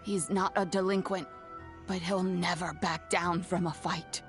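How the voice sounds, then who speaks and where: A woman voicing a kindly old granny in a video game, gentle, reassuring and proud of those she cares for. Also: lowish voice, level tone, a little slow, soft background music, lightly acted. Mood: gently chiding. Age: older. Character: kindly, proud, doting old granny who raised an oni.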